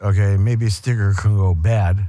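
A man's voice: lowish voice, fairly even intonation, moderate pace, warm tone, dry recording. A male host asking rambling, slangy questions in a laid-back casual interview.

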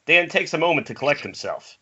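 A man's voice: a little high, lively intonation, a little fast, pushed and bright, husky. A man reading screenplay stage directions aloud as narrator of a table read. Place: at home on a group internet call. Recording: muffled, noisy internet call with clicks.

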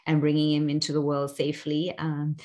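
A woman's voice: deep voice, monotone, fairly quick, darkish tone. A woman in her forties from South Africa, speaking thoughtfully as an interview guest, with frequent fillers and restarts. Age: 40s. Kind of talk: reflective personal interview.

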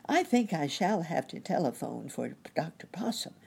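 A woman's voice: low-pitched, sing-song, normal speed, vocal fry, darkish tone, slightly rough, pitched above the performer's own voice, exaggerated voice. A woman voicing a caring muskrat lady nurse in a storybook, fussing in an anxious, earnest, sympathetic way. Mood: worried.

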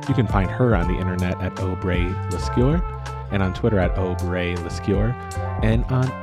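A man hosting a reading series, delivering a scripted podcast sign-off. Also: deep voice. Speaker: series host. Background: guitar music.

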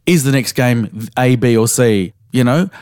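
An Australian man co-hosting a podcast, slightly hoarse as he recovers his voice, telling stories in a frank, casual way with the odd swear word. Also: mid-range voice, unhurried, a little mellow, no echo, clean studio sound. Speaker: podcast co-host.

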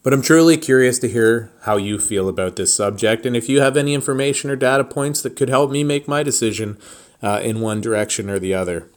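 A man hosting a solo podcast, speaking straight to listeners in a deliberate, halting way with pauses mid-thought. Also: medium pitch. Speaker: podcast host.

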